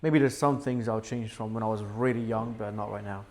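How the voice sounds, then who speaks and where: A young man who grew up in Syria, a podcast guest telling his life story in a relaxed, chatty way with frequent fillers. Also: on the low side, a little fast. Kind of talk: casual podcast interview. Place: indoor podcast setup.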